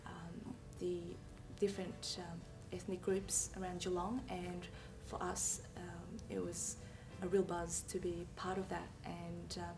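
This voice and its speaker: A woman's voice: fairly low pitch, completely flat delivery, measured pace, few pauses. A woman who performed with a community group, speaking upbeat with fillers. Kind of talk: casual interview. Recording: distant from mic, music behind.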